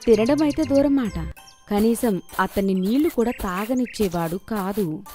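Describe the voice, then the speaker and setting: A woman's voice: mid-range voice, animated, normal speed, dark tone, faint music behind. A woman storyteller reading a scripted children's moral tale.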